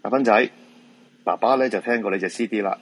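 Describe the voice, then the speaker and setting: A man's voice: fairly low pitch, fairly quick, natural voice. A man with a Hong Kong Cantonese accent playing a weary middle-aged feng shui master and father in a radio drama, resigned and down-to-earth. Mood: weary, uneasy.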